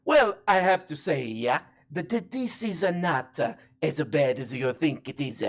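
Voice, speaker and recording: A performer voicing a self-styled Sicilian version of a celebrity chef, with a deliberately bad fake Italian accent and halting speech full of "uh" pauses. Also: medium pitch, lively intonation. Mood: earnest. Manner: hesitant, halting with many uh pauses. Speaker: comedy guest character.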